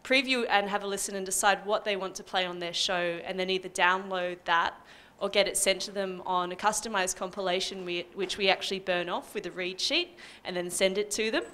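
A woman's voice: mid-range voice, fairly quick, pushed and bright. A young Australian woman explaining things informally as a panel speaker, on a handheld microphone. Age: young adult. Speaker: panel speaker from a community radio music service. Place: indoor music venue, small stage panel.

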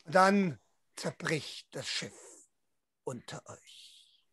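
A young man narrating a tabletop role-playing game as storyteller, with a theatrical, drawn-out, intense delivery into a close microphone. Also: on the high side, lively intonation, a bit bright, slightly rough. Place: small room at home, online video call.

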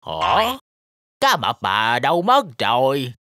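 A performer voicing a kindly old grandpa shark in a children's cartoon, animated and coaxing. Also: medium pitch, slow, no room sound, studio quality, exaggerated voice. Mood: concerned.

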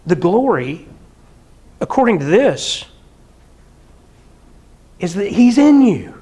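A middle-aged man preaching in a church hall, his voice sitting fairly high for a man. He talks animatedly, slipping into mock singing and quick laughs, and is picked up by a camera out in the room. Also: big pitch swings, dark tone, slightly rough. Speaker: pastor. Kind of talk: sermon. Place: church hall with projector screen.

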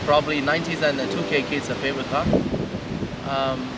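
A young man with an Indian accent, casually showing off a car outdoors, pausing often with ums and uhs. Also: medium pitch, steady tone, very noisy. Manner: hesitant, many ums and uhs. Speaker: car vlogger. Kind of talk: casual walkaround car review. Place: outdoors by a roadside among trees, windy. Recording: distant voice under loud music.

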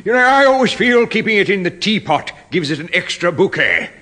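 High, bright-voiced lord. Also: male voice, sing-song, fairly quick, heavily acted. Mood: genial, conspiratorial. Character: genteel, tippling aristocratic senior official. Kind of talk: 1960s British radio comedy acting.

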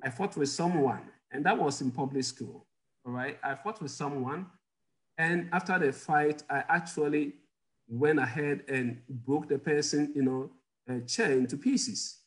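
A man's voice: a little high, measured pace, low-quality recording. A middle-aged man from Ghana, a guest doctor telling personal stories in an interview over a video call from a home office. He chuckles often and puts questions to his audience.

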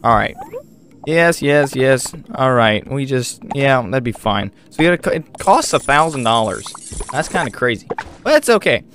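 A man's voice: mid-range voice, lively intonation, normal speed, fairly bright tone, faint music behind. A man giving casual game walkthrough commentary, chatty and thinking aloud as he plays. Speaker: gaming video host.

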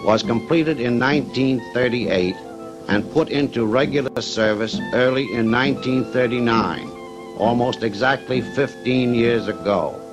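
A man making a formal, measured 1950s radio announcement. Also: fairly low pitch, rough recording. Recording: 1950s radio archive with music.

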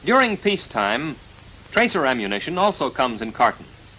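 An American man narrating a 1940s military instructional film in a formal, precise, measured delivery, on a vintage film soundtrack. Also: medium pitch, very animated, sharp tone, slight background noise, rough recording. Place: voice-over recorded for a film.

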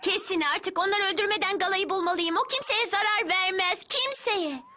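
A woman's voice: extremely high pitch, moderate pace, bright, forceful tone, slight background noise. A woman voicing a brave, earnest, insistent little circus girl in a dubbed cartoon. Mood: pleading. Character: brave defiant little circus girl protecting her panther.

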